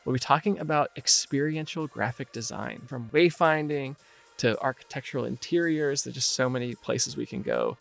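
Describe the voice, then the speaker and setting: A man's voice: medium pitch, moderate pace, vocal fry, a bit bright, faint music behind. A man hosting a design podcast, reading an upbeat scripted intro and then chatting casually with his guest. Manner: upbeat, reads announcements then chats loosely. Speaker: podcast host.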